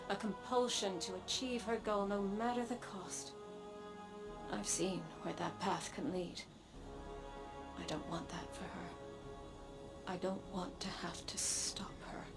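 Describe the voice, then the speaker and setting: A woman playing a weary, earnest sci-fi warrior in a video game, speaking with deliberate, reflective gravity. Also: medium pitch, vocal fry. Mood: worried. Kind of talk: scripted video game dialogue. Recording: music under the voice.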